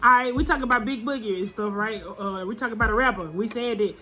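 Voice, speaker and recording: A woman from Tennessee with a Southern accent, vlogging and arguing playfully with friends, loud and laughing. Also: a little high, quick pace. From Chattanooga, Tennessee. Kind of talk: casual chat with friends.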